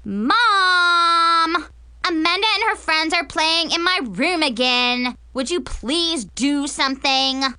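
A woman's voice: very high-pitched, big pitch swings, takes it slowly, pushed and bright, dry recording, pitched above the performer's own voice, heavily acted. A woman voicing a bratty, dramatic, self-absorbed teenage girl in a storybook, whiny and exasperated.

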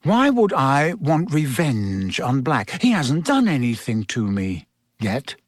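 A man's voice: medium pitch, wildly swooping pitch, slow, no echo, clean studio sound, exaggerated voice. A man voicing a defiant, puzzled schoolboy hero in a storybook, plain and offhand.

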